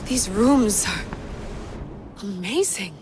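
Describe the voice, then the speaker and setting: A woman's voice: medium pitch, animated, slow pace, noisy background. An actress playing a determined, dry-witted woman researcher and adventurer in a video game. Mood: focused, hushed. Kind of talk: video game cutscene acting. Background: background music.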